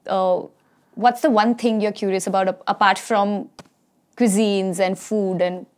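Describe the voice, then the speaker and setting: A woman's voice: medium pitch, fairly even intonation, measured pace. A young woman hosting a podcast, chatting casually with many fillers and restarts. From India. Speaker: podcast host. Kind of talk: casual interview chat.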